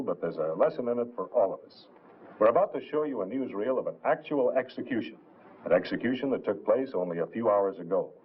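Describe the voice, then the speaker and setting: Formal male evening news anchor, 1950s film style, very animated pitch, narrow vintage soundtrack. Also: husky. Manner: formal, measured announcer delivery. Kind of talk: 1950s newscast delivery in a film. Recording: band-limited old film soundtrack.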